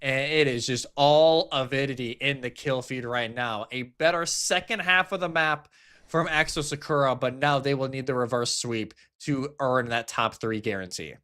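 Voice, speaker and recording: A man's voice: medium pitch, moderate pace, a bit bright, smooth. A man giving live esports commentary as an analyst, explaining the plays with emphasis.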